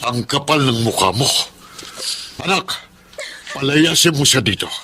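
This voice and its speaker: Wounded older father, sharp bright voice, accusing, domineering, radio drama, faint noise. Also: medium pitch, animated, a little slow. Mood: angry, bitter. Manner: forceful, accusing. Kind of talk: Filipino radio drama acting.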